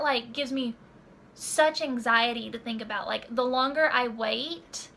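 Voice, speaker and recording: A woman's voice: a little high, gravelly, bright, forceful tone, a little hiss or hum. A woman in her early twenties chatting casually to her camera at home, rambling with many fillers and restarts. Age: 20s. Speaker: vlogger. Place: home room.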